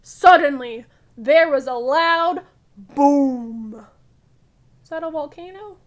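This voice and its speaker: High, animated young storybook adventurer played by a woman. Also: slow pace. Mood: excited. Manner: animated, emphatic storybook narration.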